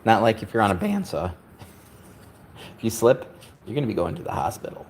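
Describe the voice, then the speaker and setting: A man's voice: fairly low pitch, very animated, fairly quick, slight background noise. A man in his fifties hosting a woodworking livestream, chatting casually with a joking, self-deprecating, rambling manner. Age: 50s.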